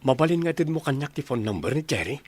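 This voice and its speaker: A man's voice: mid-range voice, sing-song, quick pace, breathy edge. A man playing a homesick young soldier in a radio drama, speaking earnestly and conversationally. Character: young soldier longing to go home.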